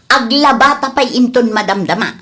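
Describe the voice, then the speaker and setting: Actress as high-voiced nagging Ilocano woman. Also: moderate pace, sharp tone. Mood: suspicious, nagging. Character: suspicious, nagging woman of the household. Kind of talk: Ilocano radio comedy drama acting.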